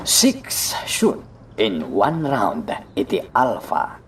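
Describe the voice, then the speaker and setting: Low-voiced, showy army general. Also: male voice, wildly swooping pitch, very slow, fairly bright tone, slight background noise, full character voice. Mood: jovial, goading. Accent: Ilocano. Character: hearty, flattering army general, fond of wagers. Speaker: actor in a radio drama.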